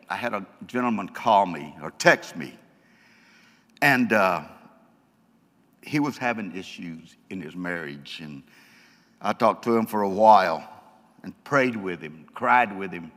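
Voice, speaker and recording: A man's voice: lowish voice, fairly bright tone. Stuttering male church speaker, folksy humorous testimony, reverberant hall. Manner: folksy, joking storyteller. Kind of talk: testimony talk to a congregation.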